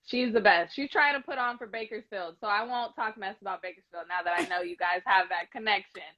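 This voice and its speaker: A woman's voice: medium pitch, fairly quick, dull audio. A woman from Sacramento, a sports TV host, chatting upbeat and playful as an interview guest over a video call. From Sacramento, California. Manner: upbeat, warm, playful teasing.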